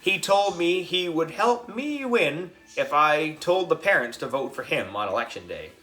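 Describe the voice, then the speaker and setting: A man's voice: fairly high pitch, sing-song, a little slow, pushed and bright, soft background music, pitched above the performer's own voice, exaggerated voice. A man voicing a competitive, indignant third-grade girl in a children's story, pointed and emphatic. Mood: mad.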